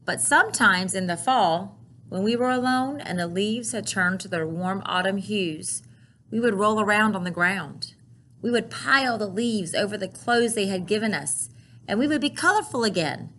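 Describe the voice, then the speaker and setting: Lady reading as a kind older grandma telling about her childhood, measured, earnest, some noise behind. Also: medium pitch, lively intonation, a bit bright, natural voice. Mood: wistful, proud.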